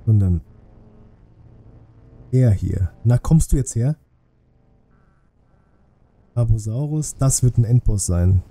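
A man's voice: mid-range voice, animated, takes it slowly, long pauses, mellow. A man casually commentating a game live for his viewers, thinking aloud in chatty asides. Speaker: streamer. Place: indoor room, streaming setup. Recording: music playing faintly behind. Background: game music.

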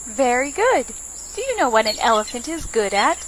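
High, animated woman reading for preschoolers. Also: moderate pace, background noise. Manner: simple questions and instructions for young children. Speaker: children's librarian reading stories. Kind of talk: storytime narration for preschoolers.

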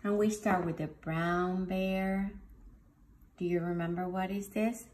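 A woman preschool teacher reading a picture book aloud to young children in a sing-song, drawn-out way. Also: fairly low pitch, steady tone, darkish tone, a little hiss or hum. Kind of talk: children's storybook read-aloud. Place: home dining room, indoors.

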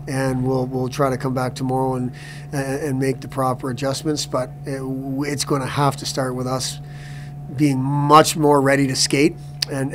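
A middle-aged man, a hockey coach at a postgame press conference, answering seated, with halting speech that repeats words and restarts often. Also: mid-range voice, background noise. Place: press room before a sponsor backdrop.

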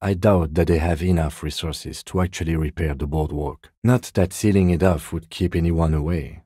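An actor playing a composed, dry police detective in a video game, speaking in a measured, restrained, matter-of-fact way with a soft husky edge. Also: male voice, deep voice, no echo. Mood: calm, analytical. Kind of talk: video game dialogue acting.

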